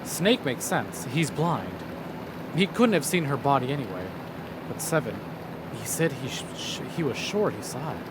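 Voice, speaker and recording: A man reading a visual novel on stream, with expressive story narration and casual commentary. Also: mid-range voice, heavy background noise. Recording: loud music behind the voice. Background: game music.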